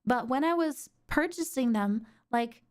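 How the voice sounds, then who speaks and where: A young woman streamer with a sore throat, chatting excitably and rambling with lots of fillers. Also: high voice, unhurried, smooth. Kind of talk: casual stream chat.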